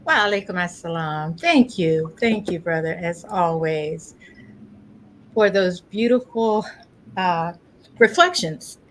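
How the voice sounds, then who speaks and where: A woman's voice: fairly low pitch, slow. A woman hosting a community religious program, speaking informally in an encouraging, courteous way. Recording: steady background noise.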